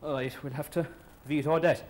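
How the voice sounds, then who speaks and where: A man's voice: fairly high pitch, steady tone, fairly quick, slight background noise, natural voice. A man playing a patronizing boss. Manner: patronizing, rambling, folksy. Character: crude small-town Irish boss interviewing, patronizing. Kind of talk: comedy sketch acting.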